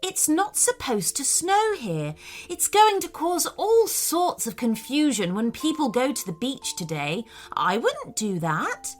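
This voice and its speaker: A woman voicing a regal, gentle Snow Queen in a children's story, speaking in a measured, courteous and proper way. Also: high voice, sing-song, faint music behind. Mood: indignant, proper.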